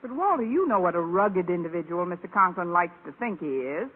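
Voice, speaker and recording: An American actress playing a wry, deadpan schoolteacher in an old radio sitcom, trading dry wisecracks. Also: female voice, lowish voice, brisk. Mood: wry teasing. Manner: deadpan, sarcastic. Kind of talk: 1940s-50s American radio sitcom acting. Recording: tinny, heavily noisy old radio recording.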